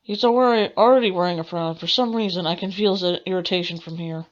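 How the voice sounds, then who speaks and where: A woman's voice: on the low side, fast, highs cut off. A woman streaming a game, reading a visual novel aloud with informal, rambling asides. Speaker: gaming streamer. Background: game music.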